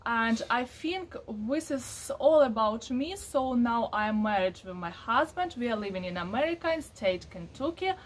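Woman in halting English. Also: fairly high pitch, slight background noise. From Ukraine. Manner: halting non-native English with many fillers. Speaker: co-owner of a marriage agency. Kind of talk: casual video introduction. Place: covered porch outdoors.

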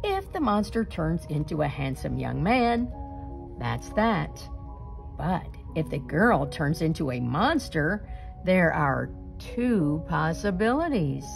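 Woman, children's storyteller, unhurried, animated swoops, deliberate emphasis, faint music behind. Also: low voice, gravelly. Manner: deliberate, dramatic emphasis with suspenseful pauses. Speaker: storyteller reading a picture book aloud. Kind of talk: expressive children's read-aloud.

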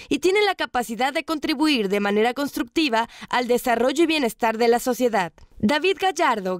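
A woman reporter reading a scripted news voice-over in a measured, formal way. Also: high-pitched, dry recording, clean studio sound.

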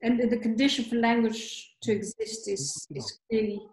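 A woman's voice: on the high side, fairly even intonation, moderate pace, a little mellow, echoey room, poor audio. A woman on a video call, musing aloud in a discussion group. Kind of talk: casual video call chat.

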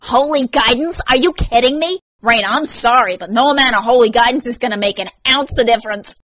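A woman's voice: mid-range voice, moderate pace, fairly bright tone, no room sound, voice pushed up, close to an everyday voice. Woman as hot-headed young man, sarcastic, swaggering, foul-mouthed, audio story character, dry close sound. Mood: mocking.

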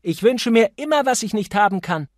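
A man's voice: high voice, animated, a little fast, no room sound, clean studio sound, voice pushed up. A man voicing a flighty, spoiled young woman who chatters in a gushing, insistent way, as an audiobook character. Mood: petulant, demanding. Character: flighty, spoiled young party woman, petulant and craving thrills.

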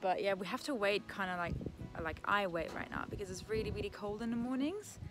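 A young woman talking to the camera in a relaxed, chatty way as she vlogs from her rural homestead. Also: medium pitch, fairly quick, over music. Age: young adult. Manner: relaxed, chatty, with soft laughs. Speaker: homesteading vlogger. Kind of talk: casual vlog talk to camera. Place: outdoor shelter on a rural homestead.

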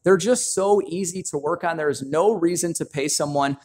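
A man's voice: a little high, normal speed. A man mechanic casually explaining a brake job in a home garage, with lots of "uh" fillers, talking to viewers as guys. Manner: relaxed, frequent "uh" fillers, addresses viewers as guys. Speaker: mechanic demonstrating brake service. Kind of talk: casual how-to walkthrough.

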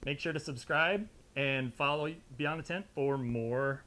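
A man's voice: fairly high pitch, unhurried, bright, forceful tone. An American man in his thirties presenting a casual, conversational product review to camera in an indoor studio. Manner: conversational, informal. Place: indoor studio with fabric backdrop.

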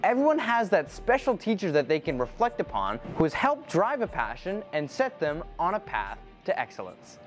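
A teenage student host asking interview questions and reading a scripted wrap-up in an earnest way. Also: male voice, high voice, huge pitch swings, moderate pace, hardly any pauses, faint music behind.

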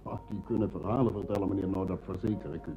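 A man's voice: deep voice, steady tone, fast talker, soft background music. A man playing a talkative, deferential hotel employee in a Dutch radio play. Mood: chatty, confiding. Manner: talkative, eager to inform. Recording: dull old radio play recording.

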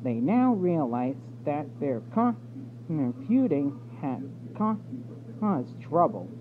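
High-voiced man haltingly reading, tinny sound. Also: very animated. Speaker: storybook reader. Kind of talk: reading a children's storybook aloud. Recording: tinny phone-like audio with background noise.

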